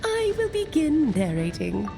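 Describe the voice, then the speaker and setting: A woman's voice: sits high, huge pitch swings, a little slow, vocal fry, full character voice. A playful adult sorceress aunt delivers her lines with grand, teasing theatricality. Her voice swoops widely in a long reverb with game music underneath. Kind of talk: video game voice acting.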